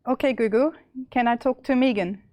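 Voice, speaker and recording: A young woman with an Austrian accent presenting a live software demo, chatty and full of fillers and restarts. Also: on the high side, a little fast, dry recording. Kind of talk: live tech demo walkthrough.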